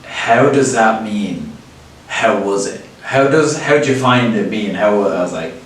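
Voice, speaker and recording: A man's voice: lowish voice, expressive, a little slow, fairly bright tone. A young man with an Irish accent chatting casually as a co-host, explaining with plenty of "like" and "you know" and laughing often. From Ireland. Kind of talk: casual chat. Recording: echoey room, voice a bit far from the mic, faint hiss.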